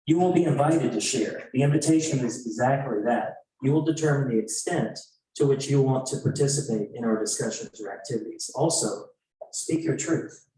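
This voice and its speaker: Male co-facilitator, mid-pitched, measured phrasing, reading prepared text in a very reverberant room, away from the mic. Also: dark tone. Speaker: event co-facilitator. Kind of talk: reading prepared discussion guidelines aloud. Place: indoor event room. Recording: very echoey room, distant mic.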